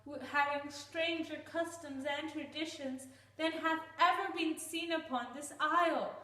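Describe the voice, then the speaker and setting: A woman's voice: high-pitched, fairly even intonation, a little slow, sharp tone. A woman playing a young romantic wife in an earnest, declamatory Shakespearean-style stage soliloquy. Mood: troubled, pensive. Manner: declamatory, earnest, archaic phrasing. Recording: roomy, distant microphone.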